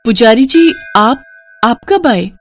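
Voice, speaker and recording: A woman's voice: fairly high pitch, lively intonation, slow pace, faint music behind, voice pushed up. Female narrator as humble, determined young orphan heroine for a cartoon fable, earnest and pleading. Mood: worried. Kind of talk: animated moral story character acting.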